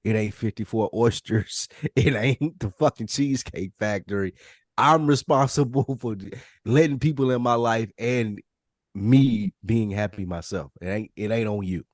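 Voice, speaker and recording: A man with a low voice speaks as a podcast co-host in a casual panel discussion. His delivery is earnest and emphatic, circling back to repeat his points. The sound is dry, with very little room. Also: a little slow, no echo.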